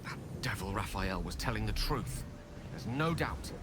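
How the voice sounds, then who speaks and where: Guy acting ambitious wizard scholar for a game, dramatic and eloquent, lively pitch, noisy ambience behind. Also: normal speed, natural voice. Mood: awed.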